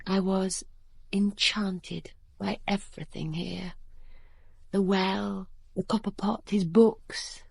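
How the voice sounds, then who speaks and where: Unhurried, wistful young woman. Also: medium pitch, fairly even intonation, no room sound, full character voice. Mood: wistful recollection. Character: cultured, candid young woman recounting a love affair. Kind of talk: British radio drama acting and narration. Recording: radio drama with clear background noise.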